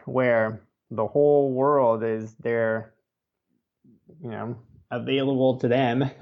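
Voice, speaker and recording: Gravelly American man in an interview. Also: medium pitch, highs cut off. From United States, Oregon. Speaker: author and birder, interview guest. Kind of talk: conversational interview storytelling.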